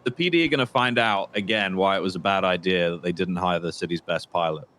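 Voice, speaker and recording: Man acting a deep-voiced, menacing ex-trooper criminal. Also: fairly bright tone, slight background noise. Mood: menacing, boastful. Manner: deliberate, halting, repeats words, condescending. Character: menacing, bitter former state trooper turned confident criminal. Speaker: roleplayer. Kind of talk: improvised crime roleplay phone conversation.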